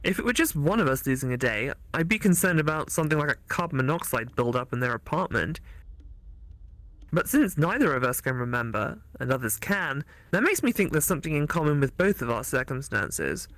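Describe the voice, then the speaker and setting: A woman's voice: deep voice, sing-song, a little fast, fairly bright tone, dry recording. Woman voicing a teasing, studious ghost classmate. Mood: serious, curious. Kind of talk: visual novel character read aloud for a let's play.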